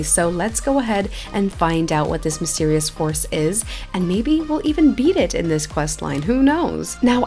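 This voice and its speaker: A woman's voice: medium pitch, a little mellow. A woman hosting a gameplay video in a chatty, enthusiastic way, giving upbeat commentary. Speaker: YouTube gaming host. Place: indoor voice-over over game footage. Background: background music.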